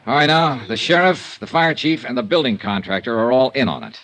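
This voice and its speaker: An American actor playing a tough, shrewd insurance investigator in a 1950s radio drama, brisk and commanding. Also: male voice, medium pitch, expressive, a bit bright, natural voice. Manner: brisk, commanding, clipped delivery. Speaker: lead actor and narrator. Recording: vintage radio recording with faint noise.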